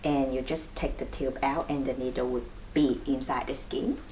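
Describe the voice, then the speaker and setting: A woman's voice: extremely low pitch, steady tone, normal speed, darkish tone. A woman acupuncturist hosting a clinic video, patiently explaining needles step by step with light laughs. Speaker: acupuncturist and host. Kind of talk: explanatory demonstration. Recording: distant mic, faint room noise.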